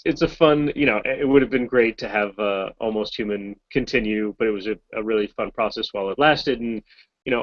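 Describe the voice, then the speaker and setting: A man with a fairly low voice, chatting loosely and poking fun at himself as he answers fan questions. The sound is dry and dull, like audio from an online video call. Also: no room sound. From Massachusetts, US. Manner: chatty, rambling, self-deprecating. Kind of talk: casual Q&A chat.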